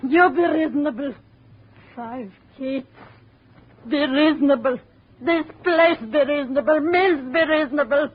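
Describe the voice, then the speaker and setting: A woman's voice: high-pitched, a little slow. A fierce mother in broken English. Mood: defiant. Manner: broken English, blunt short sentences. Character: hostile immigrant mother, guarding her husband. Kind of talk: 1950 radio drama acting. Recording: thin phone-like 1950 radio broadcast, faint hiss.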